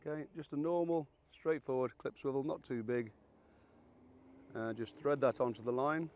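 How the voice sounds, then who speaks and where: A man around seventy, croaky and hoarse from illness, hosting a fishing channel and walking through a rig in a chatty, folksy, self-deprecating way. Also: mid-range voice, steady tone, moderate pace, a little mellow, a little hiss or hum. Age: 70s. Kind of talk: casual how-to demonstration.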